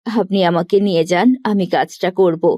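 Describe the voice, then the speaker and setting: A woman's voice: fairly low pitch, steady tone, moderate pace, warm tone, dry recording, dull audio, natural voice. A woman voicing a poor, kind and determined village mother in a cartoon fairy tale. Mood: resolute. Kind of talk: cartoon story acting.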